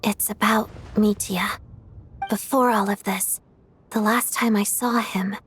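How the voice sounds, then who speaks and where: An actress voicing a secretive, earnest female undercover operative in a video game, with a measured, confiding delivery. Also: medium pitch, steady tone, slight background noise, close to an everyday voice.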